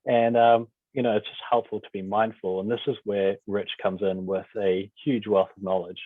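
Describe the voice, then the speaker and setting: Low-voiced man on a tinny line. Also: flat delivery, normal speed, dry recording. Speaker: meeting host. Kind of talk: introducing a speaker. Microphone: video call. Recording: dry tinny phone-like line.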